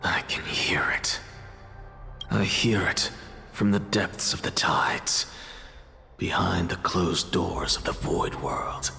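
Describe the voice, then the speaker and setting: An aloof game scholar who thinks aloud in a very low, half-whispered voice. He is detached, curious and sardonic, taking his time over each thought while music plays behind him. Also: slow, vocal fry, long pauses, heavily acted. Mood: dazed, hushed. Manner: hushed, musing, detached. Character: aloof, curious, self-reliant male scholar, intrigued and sardonic. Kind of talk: scripted game voice acting, hushed soliloquy. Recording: background music under the voice.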